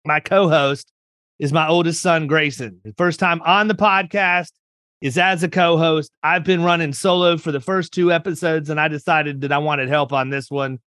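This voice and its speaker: A middle-aged man hosts a podcast with a bright, somewhat high voice. He talks in a folksy, joking, rambling way. The sound is close and dry. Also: dry recording. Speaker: podcast host. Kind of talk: casual interview chat.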